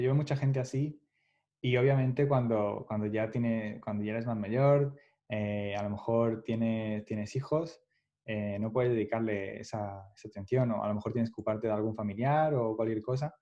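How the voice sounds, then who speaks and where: Mellow-voiced man, thoughtful. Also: lowish voice, steady tone, normal speed. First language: Spanish. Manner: reflective, restarts phrases often, laughs lightly. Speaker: guest developer. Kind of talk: casual podcast interview. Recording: dull lo-fi podcast audio.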